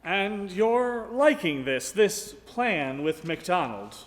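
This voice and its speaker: A man's voice: high voice, big pitch swings, slow, exaggerated voice. A man playing a plainspoken, good-hearted, hesitant farmhand in a comic radio play. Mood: wistful. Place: live on stage in a ballroom. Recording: echoey live hall.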